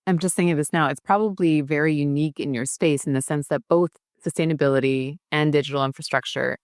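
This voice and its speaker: Low-voiced woman podcast host. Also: dry recording, studio quality. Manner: attentive, asks reflective questions. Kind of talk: casual interview talk.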